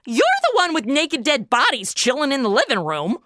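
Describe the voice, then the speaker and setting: A woman's voice: high-pitched, big pitch swings, moderate pace, a bit bright, dry recording, studio quality. A woman playing a brash, sarcastic modern sidekick in a comedy audio drama, with a snarky, casual, slangy delivery. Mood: cheeky, incredulous.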